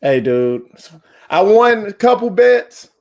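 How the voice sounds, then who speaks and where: A man co-hosting a laid-back podcast with his friends, his voice sitting a bit higher than most. He tells stories with lots of animation, drops slang at every turn and throws jokey jabs at the others. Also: slow. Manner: animated storyteller, trash-talking, slangy. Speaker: podcast co-host. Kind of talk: casual podcast banter.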